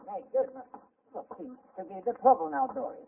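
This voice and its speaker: A man's voice: very high-pitched, expressive, a little fast, gravelly, recorded from a distance, slight background noise, exaggerated voice. An actor playing a mild, kindly old craftsman, folksy and dithering in 1940s radio style, on a tinny old recording. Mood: mildly puzzled. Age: older. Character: mild, kindly old model-ship repairman.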